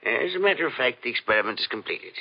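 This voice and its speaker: A man's voice: mid-range voice, sing-song, quick pace. An actor playing a stern, secretive older professor and irritable father in a 1940s radio mystery, with a clipped, suspicious manner. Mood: guarded, dismissive. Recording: muffled 1940s radio recording.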